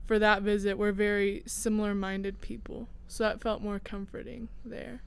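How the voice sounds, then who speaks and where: A woman's voice: mid-range voice, flat delivery, normal speed, gravelly, clear, resonant tone. A teenage girl from Indiana, a student co-host, chatting in a casual, storytelling way with many fillers. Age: teens. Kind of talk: casual chat.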